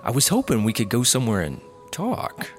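A man's voice: medium pitch, huge pitch swings, a little fast, soft background music. A man playing a clueless, cheeky fellow in a comedy audio drama, with a glib, oblivious delivery. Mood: flippant. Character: clueless, cheeky man, flippant lovelorn rehab patient.

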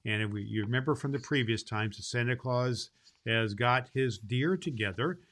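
Bright, forceful man reading storybooks. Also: medium pitch, normal speed. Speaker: host reading a Christmas storybook to children. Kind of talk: storybook read aloud with informal host talk.

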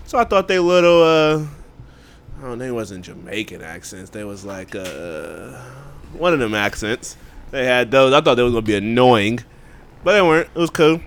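A man's voice: medium pitch, animated, moderate pace, slight background noise. A man co-hosting a movie podcast, talking in a loose, slangy, rambling way. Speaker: podcast co-host. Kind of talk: casual movie chat.

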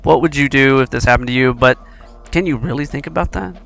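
Gravelly, bright-voiced male host. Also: mid-range voice, fast talker. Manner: probing questions, restarts often. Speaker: show host and roundtable moderator. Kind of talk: casual discussion.

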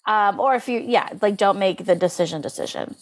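Female podcast co-host, medium pitch, informal and conversational, lots of "like" as filler. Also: a little fast. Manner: conversational, heavy use of "like". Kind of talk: casual astrology podcast chat.

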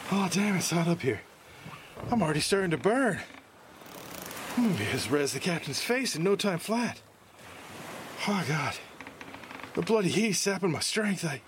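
Grumbling, worn-out sailor, high-voiced. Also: male voice, noisy background. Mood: exhausted. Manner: muttering complaints to himself. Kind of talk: period seafaring audio drama acting.

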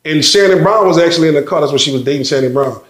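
Animated storytelling man, echoey room. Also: a little high, racing. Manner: animated storyteller, acts out quotes, repeats words. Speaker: interview guest, rapper. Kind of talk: casual storytelling interview.

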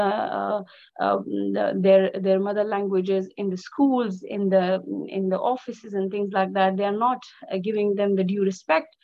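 Emphatic woman, muffled sound. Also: medium pitch. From Pakistan. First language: Pashto. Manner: emphatic, with frequent restarts and filler sounds. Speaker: guest, journalist and activist. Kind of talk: passionate interview answers.

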